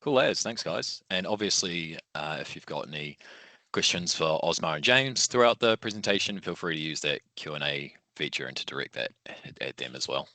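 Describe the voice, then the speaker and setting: A man hosting a software webinar in a relaxed, casual way. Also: rumbling low, moderate pace, no room sound. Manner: casual, easygoing, loose phrasing. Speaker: documentation manager, webinar host. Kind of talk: relaxed presenting.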